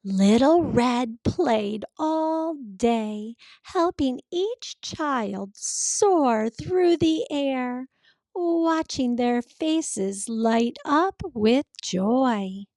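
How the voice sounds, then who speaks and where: A woman narrating a children's picture book in a careful, expressive storytelling manner. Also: high-pitched, extremely slow, no room sound. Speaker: read-aloud storyteller.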